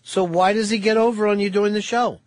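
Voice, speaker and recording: A man's voice: high-pitched, steady tone, brisk, no echo. A man hosting live talk radio from a studio, blunt and profane as he needles his co-host.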